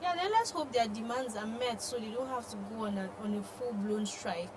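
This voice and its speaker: A woman's voice: a little high, expressive, normal speed. A woman with a Nigerian accent co-hosting a news programme, reviewing and discussing the newspapers. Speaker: news show co-host. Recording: clear background noise and ambience.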